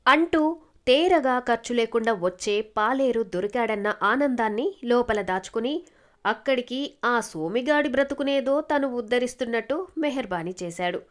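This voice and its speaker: A woman's voice: a little high, animated, moderate pace. A woman narrator reading a scripted moral story.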